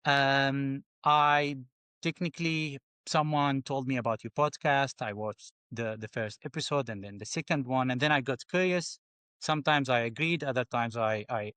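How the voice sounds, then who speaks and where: Measured, halting man from Syria. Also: mid-range voice, fairly bright tone, clear, resonant tone, dry recording. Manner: measured, slightly halting, repeats words. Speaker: guest. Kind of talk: interview answers.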